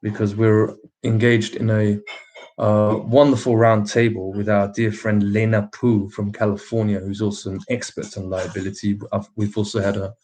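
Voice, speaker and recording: A man's voice: fairly low pitch, level tone, moderate pace, darkish tone. A man with a slightly British accent hosting a casual livestream, putting emphatic rhetorical questions to his viewers and laughing often between remarks. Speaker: livestream host.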